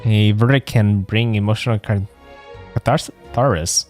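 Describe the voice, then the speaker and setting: A man's voice: fairly low pitch, fairly even intonation, moderate pace, darkish tone, soft background music. A man streaming a video game, musing aloud with questions in casual let's play commentary.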